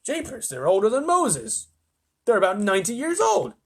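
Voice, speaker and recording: A man voicing a flustered, excitable storybook astronaut with an urgent, insistent manner. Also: fairly high pitch, normal speed, husky, voice pushed up. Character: flustered, excitable male astronaut Shuckworth alerting mission control.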